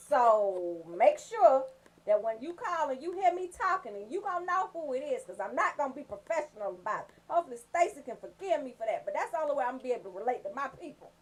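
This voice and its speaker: High-pitched sassy woman hosting radio. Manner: sassy, joking, informal and profane. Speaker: radio show host. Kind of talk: casual comedic radio banter with shout-outs.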